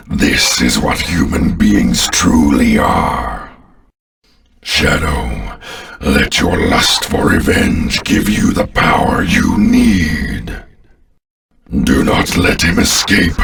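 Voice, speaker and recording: Game villain: ancient, contemptuous alien tyrant. Slow, menacing, grandiose delivery with big swoops in a heavily rasping bass growl. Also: male voice, a bit bright, distant mic, heavily acted. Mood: scornful. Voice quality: very deep, gravelly. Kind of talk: theatrical video game villain acting.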